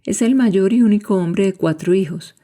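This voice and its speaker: A woman's voice: mid-range voice, normal speed, warm tone. A Colombian woman reading scripted narration as the host of a podcast. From Cali, Colombia. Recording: music behind the voice. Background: music.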